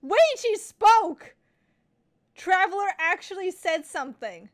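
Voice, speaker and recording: A woman giving casual live gameplay commentary as a streamer, playful, informal and quick to react. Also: very high-pitched, animated. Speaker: game streamer. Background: game music.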